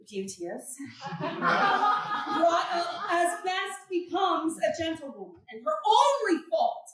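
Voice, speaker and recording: Lady playing excitable lovesick shakespeare gent, highish voice, slow and theatrical, echoey and off mic. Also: full character voice. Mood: amused. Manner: animated, theatrical verse delivery. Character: genial, excitable lovestruck gentleman friend. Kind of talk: Shakespearean staged reading. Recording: echoey room, distant microphone.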